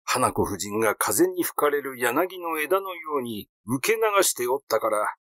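A man's voice: medium pitch, very animated, a little slow, a bit bright, dry recording, studio quality. A man reading literary fiction aloud as a narrator. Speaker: audiobook reader.

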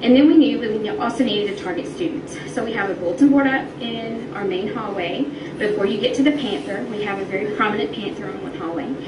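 A woman's voice: medium pitch, fairly quick, hardly any pauses. Distant, echoey woman teacher explaining. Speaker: middle school teacher. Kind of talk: interview answers, explaining. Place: classroom, replayed on a projection screen. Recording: distant echoey re-recorded playback, noisy room.

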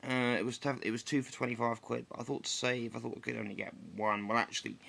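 A young man from England, slightly croaky after flu, rambling through a casual solo vlog update with many ums and restarts, filmed on a close webcam in a dim living room. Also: medium pitch, level tone, quick pace, sharp tone. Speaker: vlogger.

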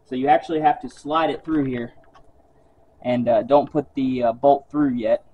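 A man's voice: medium pitch, steady tone, normal speed, highs cut off. A man hosting a casual vlog, talking in an easygoing way outdoors. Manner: easygoing, swears when frustrated. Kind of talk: casual chat.

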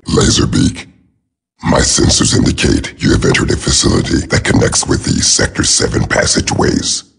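An actor playing a cold, methodical giant robot soldier in a video game, deadpan and formal, with a raspy voice through a robotic filter. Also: male voice, rumbling low, heavily acted. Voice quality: raspy, gravelly. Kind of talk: video game voice acting, clipped reports.

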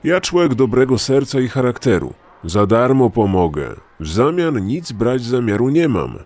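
An actor playing a kindly-seeming, pious old gentleman with folksy, old-fashioned speech in an audio drama. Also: mid-range voice, very animated, normal speed, natural voice. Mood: pious, reassuring.

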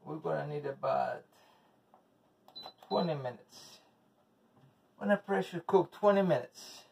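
Middle aged guy w spanish accent, native spanish speaker, slow rambly cooking vlog w bitter cussing, a bit high, kinda far from the cam. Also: expressive, vocal fry, a little mellow. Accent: Spanish-speaking, English as second language. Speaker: home cook vlogger. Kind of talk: casual cooking video with ranting. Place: home kitchen, indoors. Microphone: camera across the counter. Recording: voice from off-mic with faint noise.

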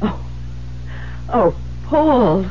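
An actress playing an elegant, agitated wife in a 1970s radio drama, theatrical and halting, with stammers. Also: female voice, a little high, big pitch swings, a little hiss or hum, full character voice. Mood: tense. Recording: muffled old radio broadcast, music.

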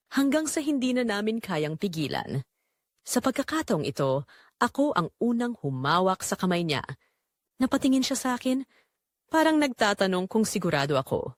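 A woman's voice: mid-range voice, dry recording, studio quality. A woman narrating a scripted first-person dramatic story.

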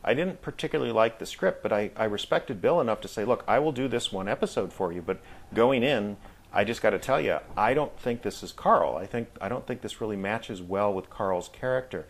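A middle-aged American man reminiscing casually as a guest in an interview, speaking in a reflective, halting way. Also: on the low side. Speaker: guest, audio drama actor.

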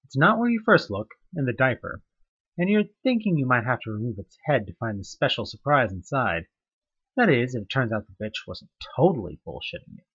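A man tells a horror story straight to the listener, his voice swinging widely in pitch as he builds dread. His tone is slightly husky. The recording is dull and lo-fi. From United States. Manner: tense, dramatic storytelling with rising questions. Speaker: narrator of a fan audioplay. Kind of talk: second-person horror narration.

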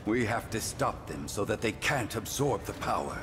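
A man voicing a grave, steady guide in a video game, earnest and instructive. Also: on the low side, normal speed, over loud music, close to an everyday voice. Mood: urgent, grave.

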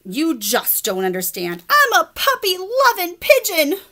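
A woman voicing an excitable, whiny male pigeon from a picture book, speaking high, loud and pleading. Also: a little slow, heavily acted.

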